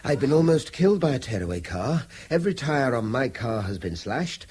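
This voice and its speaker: Tense British schoolteacher, swooping intonation. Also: male voice, mid-range voice, sing-song, brisk, darkish tone, breathy edge. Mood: tense, grim. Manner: formal, precise wording. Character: tense, formal, persecuted male schoolteacher with a short temper. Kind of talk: radio drama acting.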